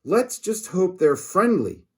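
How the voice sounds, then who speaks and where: A man's voice: sits high, very animated, slow pace, voice pushed up, heavily acted. A man voicing a timid, wide-eyed schoolboy sidekick in a storybook, with a questioning, whiny manner. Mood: worried.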